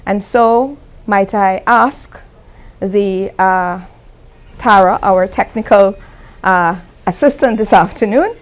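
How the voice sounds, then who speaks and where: A woman giving a formal ceremony introduction as host, in a measured, deliberate manner. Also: medium pitch. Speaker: mistress of ceremonies, university vice-chancellor.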